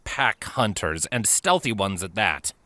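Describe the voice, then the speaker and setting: A man reading scripted fiction as an audiobook narrator, expressive and measured in a dramatic storytelling style. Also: fairly low pitch, no room sound.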